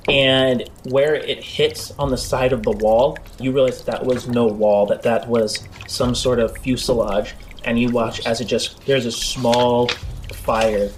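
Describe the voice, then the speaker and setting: A man's voice: medium pitch, fairly even intonation, measured pace. A man improvising the narration of a tabletop role-playing game as game master. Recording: clear background noise.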